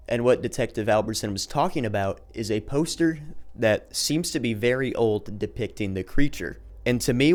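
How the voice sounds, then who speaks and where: A man narrating a video essay in a conversational explanatory way, moving between scripted recap and casual commentary. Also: mid-range voice.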